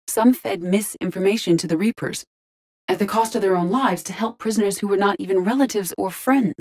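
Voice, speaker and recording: An actress playing a calm, logical artificial intelligence in an android body in a video game, speaking formally and precisely with restrained emotion. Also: female voice, fairly low pitch. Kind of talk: scripted video game voice acting.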